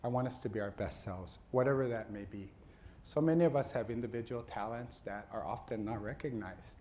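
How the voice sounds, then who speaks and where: Man, from Hawaii, middle-aged, earnest faculty address, lots of ums and restarts, mid pitch, faint ambience. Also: a little mellow, slight background noise. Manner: earnest, halting with frequent fillers and restarts. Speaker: dean of a social work school.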